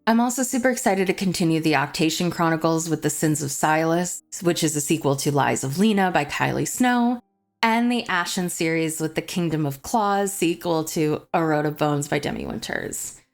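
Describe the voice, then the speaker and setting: Woman doing a chatty book review vid, lowish voice, keen on her books, soft music under it. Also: normal speed, creaky. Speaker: book vlogger, host. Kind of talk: casual chat to camera, semi-scripted book review.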